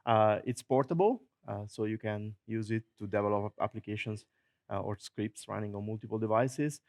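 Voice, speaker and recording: A man with an Italian accent giving a technical conference talk, joking often, chuckling at his own jokes and filling pauses with many uhs. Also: fairly low pitch, a little mellow. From Italy. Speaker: conference presenter. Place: conference hall.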